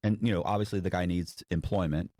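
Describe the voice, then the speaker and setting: A man's voice: sits low, steady tone, quick pace, warm tone, dry recording, studio quality. A man speaking as an interview guest, telling a story casually and slangily, acting out what people said. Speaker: interview guest recounting past fraud.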